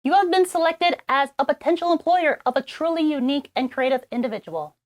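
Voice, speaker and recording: A young adult with a high-toned voice reading a scripted self-introduction and slate lines for a recorded editing reel, measured and deliberate. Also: animated, slight background noise. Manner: read aloud, measured and scripted.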